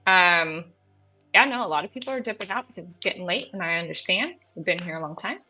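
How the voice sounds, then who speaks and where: A woman co-hosting a casual live stream, upbeat, reading viewer comments aloud and quick to apologize. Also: lowish voice, animated, sharp tone, soft background music, poor audio. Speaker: podcast co-host.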